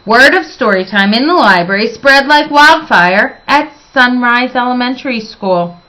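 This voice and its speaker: A woman reading a children's storybook aloud as expressive narration, with dramatic, emphatic phrasing. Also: on the high side, unhurried, poor audio.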